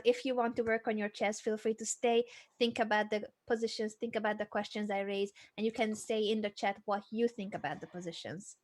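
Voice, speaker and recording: A woman coaching chess on a live stream, friendly and explanatory, often laughing. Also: medium pitch.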